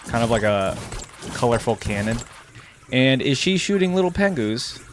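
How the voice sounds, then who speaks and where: Man giving lively, casual reaction commentary. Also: mid-range voice, normal speed, slight background noise. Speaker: reaction video host. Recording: music behind the voice. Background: game music and effects.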